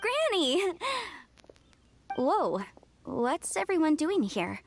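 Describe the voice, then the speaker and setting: A lively, affectionate young woman in a game. The voice is very high and swoops wildly, drawn out in pace, over faint noise. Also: extremely slow, gravelly, music in the background, exaggerated voice. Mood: surprised, puzzled. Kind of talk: animated video game dialogue.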